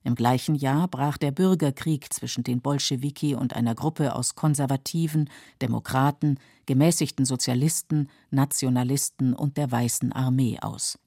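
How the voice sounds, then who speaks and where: A woman reading scripted documentary narration for a literary radio feature in a measured, factual, clearly articulated way. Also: extremely low pitch, dark tone, no echo, clean studio sound.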